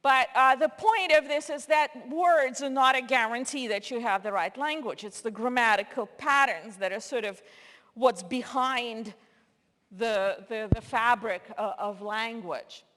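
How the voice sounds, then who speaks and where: A woman's voice: a little high, unhurried, fairly bright tone. A woman who speaks Russian natively, giving a conference lecture in a hall with many fillers and restarts. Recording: long hall reverb.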